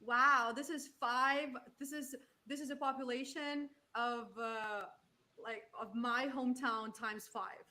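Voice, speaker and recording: A woman's voice: on the high side, fairly even intonation, slow pace, sharp tone. A woman from Ukraine, co-hosting a casual live-stream chat. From Odessa, Ukraine. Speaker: show co-host.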